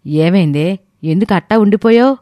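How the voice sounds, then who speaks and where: A man voicing an excitable, scolding mother of grown children, loud and exclaiming. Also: sits high, normal speed, no room sound, pitched above the performer's own voice, close to an everyday voice. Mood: alarmed, scolding.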